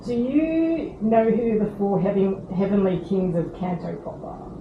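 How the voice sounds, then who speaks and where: A woman's voice: mid-range voice, very animated, a little slow. A woman interviewing a young barrister, asking polite questions with many fillers. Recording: phone-like, echoey, noisy.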